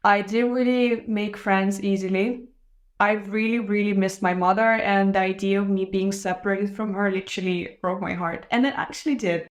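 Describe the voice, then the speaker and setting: A woman's voice: mid-range voice, steady tone, normal speed. Candid young woman host, foreign-accented English. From Israel. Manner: candid, reflective, soft laughs. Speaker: podcast host. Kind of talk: casual personal monologue to camera.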